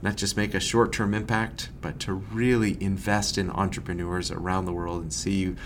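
A man from Massachusetts, a nonprofit executive, answering interview questions in an earnest, measured way. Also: deep voice, flat delivery, a little hiss or hum. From Massachusetts, USA.

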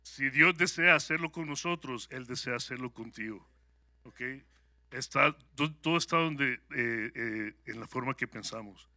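A man with a Salvadoran Spanish accent speaks to a congregation as a guest speaker. His voice is very bright and sharp, and it sounds close and dry. He talks in an upbeat, conversational way and often pauses on hesitant fillers. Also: mid-range voice, dry recording. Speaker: guest speaker at a church service. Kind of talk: personal testimony to a congregation.

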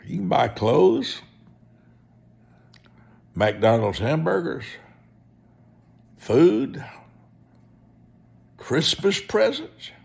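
A man preaching in a personal testimony style, folksy and deliberate, repeating phrases for emphasis. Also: a little high, sing-song, gravelly. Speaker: preacher, evangelist.

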